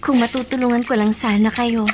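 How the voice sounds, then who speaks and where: A woman's voice: fairly high pitch, level tone, a little fast, noisy background, close to an everyday voice. An actress playing a young pregnant woman who talks in an earnest, pleading and respectful way. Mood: worried. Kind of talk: radio drama acting.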